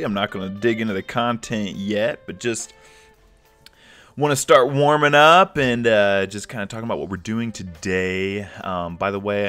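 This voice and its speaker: A man's voice: lowish voice, fairly bright tone. A man hosting a livestream, talking casually with many fillers and restarts, a little sniffly from a cold. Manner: rambling, filler-heavy, restarts often. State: slightly congested from a cold. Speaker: livestream host.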